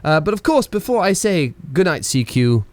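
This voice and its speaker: An actor as a rambling, jokey radio announcer, higher-voiced man. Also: big pitch swings, normal speed, no echo. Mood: flustered. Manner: stammering, digressive, cheeky. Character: jokey, rambling, self-mocking 1920s radio station announcer. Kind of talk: radio play, improvised-sounding comic broadcast patter.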